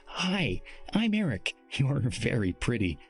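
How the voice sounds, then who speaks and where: A man's voice: mid-range voice, big pitch swings, takes it slowly, faint music behind. A man narrating a story and voicing an exuberant teenage boy who gushes and stammers in a raised voice. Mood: excited. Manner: gushing, stammering.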